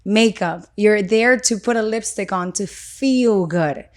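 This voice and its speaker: A woman from Venezuela, a podcast guest in casual roundtable conversation, earnest and lively, tagging her sentences with "you know" and "right.". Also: mid-range voice, measured pace. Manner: lively storyteller, slips into quoted voices.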